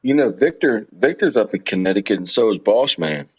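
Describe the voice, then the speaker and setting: A man's voice: on the low side, expressive, a little fast, breathy edge. A man chatting casually and agreeably on a livestream, in an informal way. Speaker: livestream co-host or guest. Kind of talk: casual chat. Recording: dull lo-fi call audio.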